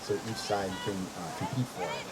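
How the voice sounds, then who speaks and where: A man's voice: low-pitched, brisk, over loud music. An American man giving casual rugby commentary and explaining the rules as play goes on, with many fillers. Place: outdoor sports field. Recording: outdoor with loud wind on the mic.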